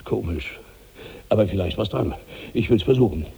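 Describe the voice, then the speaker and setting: A man's voice: fairly low pitch, moderate pace, vocal fry, mellow, breathy edge, big-room sound, full character voice. An actor playing a gluttonous, demanding giant of sixty-five in a radio drama, grumbling as he goes. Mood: fussy, demanding. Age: older. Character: gluttonous 65-year-old giant man, insatiably hungry, demanding. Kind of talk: 1960s German radio drama acting.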